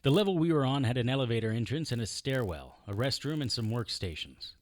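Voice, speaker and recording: Guy acting a hardboiled detective, deadpan wisecracks, unflappable, pitch moves around a lot, dry studio sound, audio drama. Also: fast, no room sound, natural voice. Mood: grim narration. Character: wry, unflappable tough male private investigator. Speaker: narrator and lead actor. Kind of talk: hard-boiled detective audio drama acting with first-person narration.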